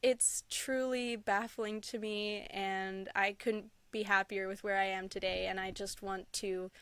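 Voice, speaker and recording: A woman's voice: mid-range voice, level tone, a little slow, a bit bright, clear, resonant tone, no room sound. A woman guest on a podcast chatting casually, gushing and laughing often, with lots of "like" and "um". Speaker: podcast guest, content creator. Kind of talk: casual interview chat.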